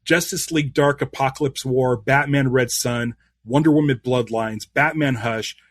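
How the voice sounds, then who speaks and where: A man's voice: mid-range voice, steady tone, moderate pace, no room sound. Eager male live-stream host, dry sound. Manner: eager, rambling, many fillers and restarts. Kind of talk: live stream interview hosting.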